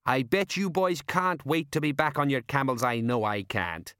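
Man voicing a bumbling, cocky police sergeant, pitched up. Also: moderate pace, fairly bright tone, clear, resonant tone, no room sound, studio quality, natural voice. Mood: chummy. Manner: colloquial, dropped letters, ingratiating.

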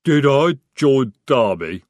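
A man voicing a huge, slow-witted male troll who rumbles and growls his words. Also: big pitch swings, darkish tone, dry recording, studio quality. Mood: dull.

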